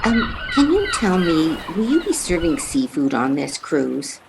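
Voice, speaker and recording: A woman's voice: low voice, sing-song, a little slow, gravelly, background noise, full character voice. A woman playing a fussy, fretful yet gushing lady passenger in a radio play. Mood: anxious, apologetic.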